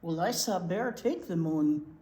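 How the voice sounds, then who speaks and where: A woman reading a picture book to young children in an expressive, chatty way, often asking them questions. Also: on the low side, a little slow. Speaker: children's library teacher reading aloud. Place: indoor room at a library. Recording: roomy echo, noticeable background noise.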